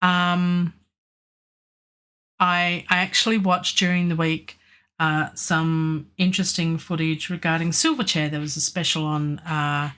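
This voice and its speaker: A woman's voice: low-pitched, flat delivery, unhurried, a bit bright, clean tone. An Australian woman chatting through a live music-production stream, rambling with many ums and restarts, her voice worn by a lingering cough. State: recovering from a virus, lingering cough and weak voice. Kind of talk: casual live-stream talk about mixing a track.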